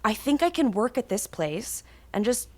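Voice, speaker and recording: Grown daughter played by a woman, earnest and anxious, higher-pitched, casual naturalistic drama dialogue. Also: sing-song, normal speed. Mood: relieved, casual.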